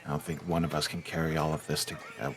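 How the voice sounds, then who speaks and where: A man's voice: a bass voice, little pitch movement, fast talker, background noise. A man chatting casually as a player in a tabletop role-playing game.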